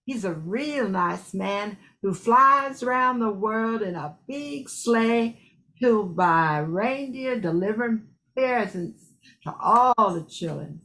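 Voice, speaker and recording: A woman's voice: a little high, fairly bright tone, exaggerated voice. A woman playing a kindly, hospitable Cajun bayou woman in an amateur radio play.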